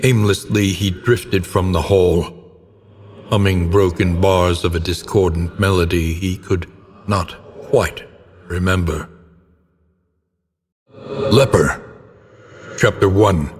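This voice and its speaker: A brooding older male narrator tells dark gothic game tales in a low, weighty register. The delivery is slow, ominous and ornate, with a theatrical solemnity. The voice rings in a long reverb over faint background noise. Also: fairly even intonation. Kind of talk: theatrical scripted gothic storytelling.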